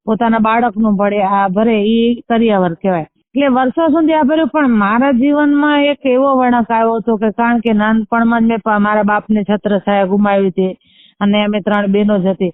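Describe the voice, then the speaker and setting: A woman's voice: a little high, dry recording. A woman with a Kutchi Gujarati accent giving an earnest, spontaneous personal account, repeating phrases as she goes. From Kutch, Gujarat, India. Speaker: artisan entrepreneur, interviewee. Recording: narrow tinny phone-like line.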